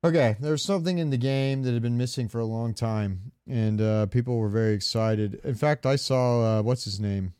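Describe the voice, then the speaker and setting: A man co-hosts a casual gaming podcast in a clear, smooth voice at an average pitch. He rambles along informally and swears now and then. The sound is dry and close. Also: steady tone, normal speed, hardly any pauses, dark tone, no echo. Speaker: podcast co-host. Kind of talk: casual chat about video games.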